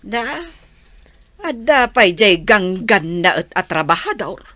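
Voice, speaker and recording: A sharp, forceful village mother acted by a woman in an Ilocano radio comedy, with an Ilocano accent. She pleads and nags in big swooping tones and stretched-out exclamations, at an unhurried pace, recorded close and dry. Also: medium pitch, sing-song, no echo, full character voice. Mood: nosy, surprised. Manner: exclamatory, drawn-out interjections.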